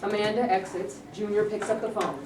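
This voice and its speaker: A woman's voice: mid-range voice, fairly even intonation, brisk, darkish tone. A woman reading stage directions as scripted narration for a play. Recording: echoey room, distant mic, clear noise.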